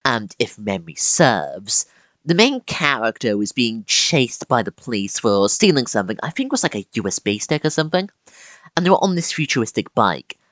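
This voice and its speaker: Video-essay narrator, mid-pitched, lively swings in pitch, dramatic emphasis, casual asides, close dry recording. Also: normal speed, dry recording.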